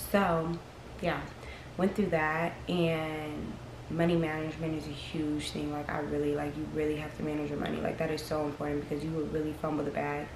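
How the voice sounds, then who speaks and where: A young woman in her early twenties talks to camera in a rambling, frank, chatty way. Her voice sits low and stays fairly flat. The room sounds echoey, with a clear hiss of noise behind her. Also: brisk. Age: early 20s. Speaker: vlogger. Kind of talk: casual chat to camera.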